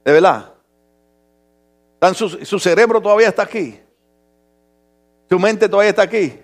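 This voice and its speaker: A man preaching an animated sermon to a congregation, theatrical and humorous, swinging between murmured asides and drawn-out shouts. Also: high-pitched. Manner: humorous, mimics people in dialogue.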